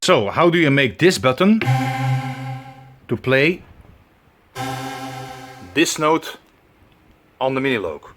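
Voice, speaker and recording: A man with a Belgian accent giving a hands-on tutorial, talking in halting steps with many fillers as he searches for words. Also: medium pitch, animated, fairly bright tone, soft background music. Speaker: tutorial presenter. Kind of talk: instructional demonstration.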